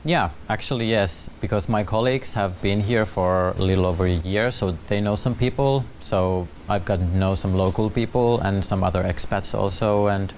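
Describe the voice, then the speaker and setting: A man's voice: fairly low pitch, moderate pace, few pauses, a little mellow, slight background noise. A man in his thirties with a Finnish accent, answering casually and expressively as an interview guest. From Finland. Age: 30s. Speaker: talk show guest. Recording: guitar music under the talk.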